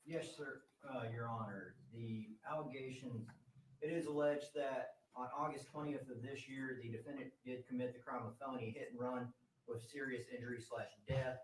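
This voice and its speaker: A man's voice: mid-range voice, steady tone, normal speed. A man speaking as a prosecutor, formally stating allegations in a courtroom, recorded from a distance. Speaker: district attorney. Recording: distant, echoey courtroom with faint noise.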